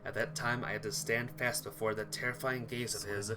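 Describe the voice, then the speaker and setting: A man reading a visual novel aloud as a playthrough host, narrating in an expressive, theatrical way. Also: medium pitch, fairly quick, noisy background. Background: music.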